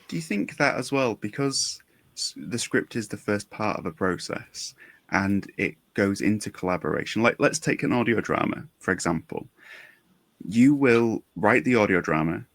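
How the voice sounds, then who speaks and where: A man's voice: lowish voice, lively intonation, moderate pace, a bit bright, no echo. A man hosting a conversational interview, working through long, exploratory questions and restating as he goes. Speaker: podcast host, interviewer.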